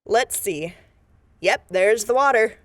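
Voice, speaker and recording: A woman's voice: a little high, animated, slow, a bit bright, no echo. A woman playing a businesslike, take-charge deputy investigator in a radio drama, plain-spoken and matter-of-fact. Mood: focused, deducing. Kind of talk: scripted radio drama acting.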